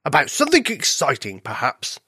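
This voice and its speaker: A woman voicing a bored, good-natured male farm dog in a children's story, with a slow, drawn-out delivery. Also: sits low, wildly swooping pitch, bright, forceful tone, slightly rough, no echo, studio quality, full character voice. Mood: bored, obliging.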